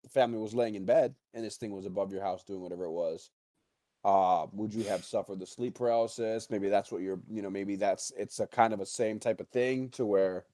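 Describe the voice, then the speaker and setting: Male talk panelist, low voice, dry close sound, casual and rambling, circling back over phrases. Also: mellow, no echo. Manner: rambling, halting with repetitions. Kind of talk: casual speculative discussion.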